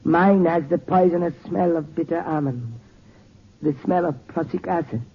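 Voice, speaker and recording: Doomed, gravelly, solemn villain. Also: male voice, a little high. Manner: solemn, theatrical, measured. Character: doomed, guilt-ridden male villain, fatalistic and solemn. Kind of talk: 1940s radio acting. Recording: muffled, noisy old radio recording.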